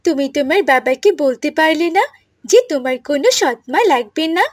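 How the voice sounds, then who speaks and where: A woman playing a meddling, scheming aunt in an animated moral story, scolding and insinuating with pointed questions. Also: sits high, moderate pace, no echo, studio quality. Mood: scolding, warning.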